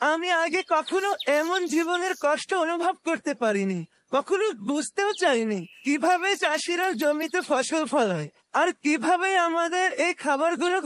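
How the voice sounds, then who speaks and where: A man's voice: extremely high pitch, a bit bright. A man voicing a humble, earnest young man in a cartoon, polite and reassuring. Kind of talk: cartoon dubbing.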